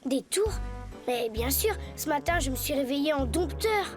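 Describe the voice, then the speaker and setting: A very high, childlike boy's voice, earnest and protesting in cartoon style. Music plays clearly behind the hesitant lines. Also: lively intonation, normal speed. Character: earnest young boy, hesitant reluctant animal tamer. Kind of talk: French cartoon dubbing.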